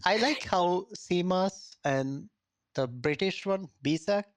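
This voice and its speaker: A man's voice: high voice, slow pace. Friendly male podcast host. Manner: friendly, laughs along with the guest. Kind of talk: casual interview questions.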